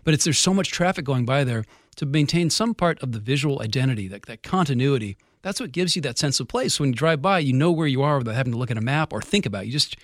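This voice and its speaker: Man, radio guest storyteller, quick and eager delivery, dry close sound. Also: mid-range voice, animated, no echo. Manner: descriptive, enthusiastic about old buildings. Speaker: guest local history storyteller. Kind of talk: conversational storytelling.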